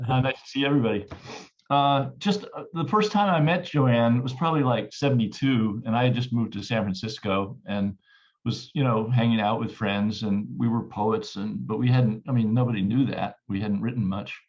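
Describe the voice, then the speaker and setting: Guy telling an old story, friendly, stumbly, muffled lo-fi audio. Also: mid-range voice. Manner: friendly, halting, repeats words. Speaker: audience member recalling a memory. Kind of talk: casual reminiscence. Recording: dull lo-fi audio.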